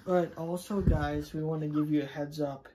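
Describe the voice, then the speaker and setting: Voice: medium pitch, steady tone, moderate pace, background noise. A chatty co-host giving a casual, unscripted product review, speaking directly to viewers and a partner.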